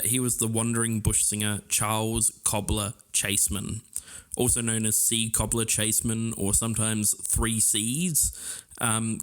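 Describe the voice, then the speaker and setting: A man co-hosting a podcast, narrating from loosely scripted notes. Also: fairly low pitch. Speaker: podcast co-host.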